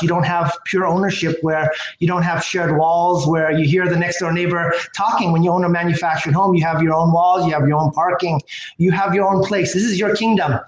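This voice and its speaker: A man's voice: high-pitched, lo-fi sound. A man who runs a housing company, speaking as an interview guest, enthusiastic and rambling with many fillers and restarts. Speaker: company president and CEO, guest. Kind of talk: casual interview talk. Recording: roomy with faint background noise.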